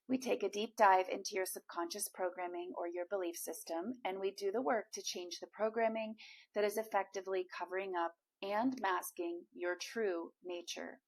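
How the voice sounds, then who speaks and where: A woman with a slightly hoarse, froggy voice, giving an encouraging direct-to-camera coaching talk as a manifestation coach, speaking straight to the viewer. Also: mid-range voice, rough recording.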